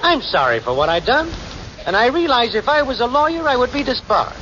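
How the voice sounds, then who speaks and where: Very high, brash slangy boyfriend. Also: male voice, sing-song, brisk, bright, forceful tone, full character voice. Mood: contrite, glib. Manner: streetwise, ungrammatical, glib. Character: brash, slangy, shiftless young boyfriend, smooth-talking schemer. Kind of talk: 1940s radio sitcom acting. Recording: muffled, noisy 1940s radio recording.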